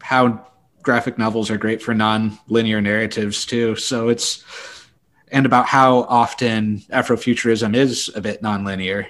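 A man co-hosting a podcast, discussing book news casually in a thoughtful, halting way with self-corrections. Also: on the low side, fairly even intonation, a bit bright. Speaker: podcast co-host.

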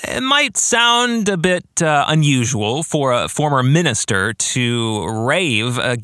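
Male podcast host, higher-pitched and very animated, emphatic phrasing, close dry sound. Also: slow pace, no echo. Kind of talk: scripted-sounding podcast monologue with casual asides.

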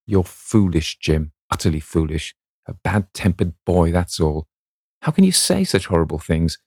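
A man's voice: deep voice, lively intonation, normal speed, a little mellow, slightly rough, no echo, clean studio sound, full character voice. A man voicing a young actress, dreamy and lovestruck, speaking in a breathless, rapturous way. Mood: scolding.

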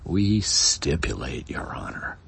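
A man voicing an indignant, obstructive defense lawyer in a dramatic poem reading, aggrieved, strenuous and breathy. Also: low voice, expressive, slow pace, fairly bright tone, in a whisper, heavily acted.

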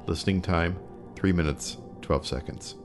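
A man with a deep voice reads scripted podcast introductions. Faint music plays behind his announcements. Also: normal speed.

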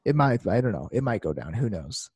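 A man with a mellow, darker tone, chatting in a jokey, excitable way and cursing casually. The recording is close and dry. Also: on the low side, fairly quick, husky, no echo. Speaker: podcast co-host. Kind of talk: casual sports chat.

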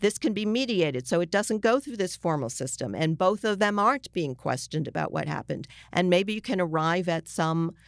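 American woman, smooth lowish voice, emphatic explainer, halting phrasing, dry recording, podcast interview guest. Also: lively intonation, no echo. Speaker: journalist being interviewed.

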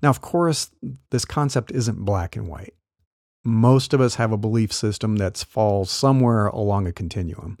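A man speaks as a career coach in an easygoing, explanatory tone, sometimes chuckling. His voice is rough and gravelly, a bit worn from losing it. The sound is close and dry, with no room around it. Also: on the low side, normal speed, a little mellow, no room sound. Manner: conversational, explanatory, light laughs. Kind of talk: solo podcast talk.

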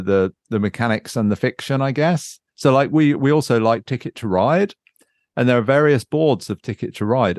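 A man's voice: medium pitch, darkish tone, dry recording, clean studio sound. A man chatting casually and unscripted as a podcast host, thinking aloud and searching for his words. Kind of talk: casual unscripted chat about board games.

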